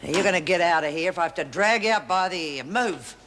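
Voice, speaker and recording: A woman's voice: fairly low pitch, expressive, quick pace, fairly bright tone, a little hiss or hum. An actress playing a cold, taunting female prison officer, barking orders. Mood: commanding. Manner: sneering, commanding.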